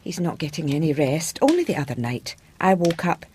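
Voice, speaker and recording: An actress playing a bossy, devoted schoolmaster's wife with a Scottish accent in a period radio drama, nagging and talking over others. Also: female voice, deep voice, big pitch swings, fairly quick, a little mellow, slight background noise. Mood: anxious, insistent.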